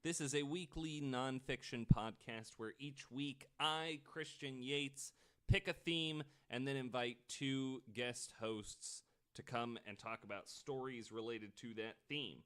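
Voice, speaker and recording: A man's voice: medium pitch, measured pace, sharp tone, clean tone. A man hosting a podcast, telling stories in a casual, informal and joking way. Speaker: podcast host. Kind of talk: casual conversational storytelling.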